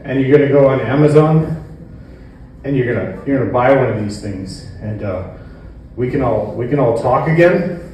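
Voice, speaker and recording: A man doing a stand-up comedy routine on stage, with a halting, rambling delivery. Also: medium pitch, a little mellow. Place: indoor comedy venue stage. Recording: echoey room, distant mic, faint noise.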